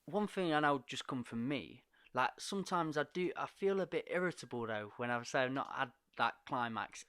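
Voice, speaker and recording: A man hosting a podcast, thinking out loud in a reflective way. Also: medium pitch, animated, moderate pace, clear, resonant tone, dry recording. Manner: reflective, lots of agreeing murmurs. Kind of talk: casual podcast chat.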